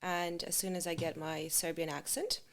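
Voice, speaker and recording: A woman actress being interviewed, speaking English in a relaxed, halting way and slipping in Serbian words. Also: low voice. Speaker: actress, guest. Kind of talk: casual interview chat.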